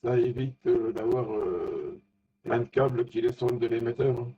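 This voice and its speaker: Guy on ham radio talking tech, hesitant, searching for words, bit husky, muffled lo-fi sound. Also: medium pitch, fairly even intonation, moderate pace. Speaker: amateur radio operator. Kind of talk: casual technical chat over amateur radio. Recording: dull, lo-fi radio contact audio.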